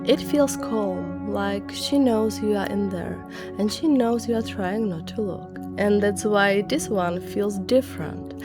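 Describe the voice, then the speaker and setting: A woman's voice: mid-range voice, measured pace. A woman narrating scary stories conversationally as host, dropping dramatic short phrases and wry personal reactions. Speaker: narrator and host of horror legend videos. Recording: music bed under voice. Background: background music.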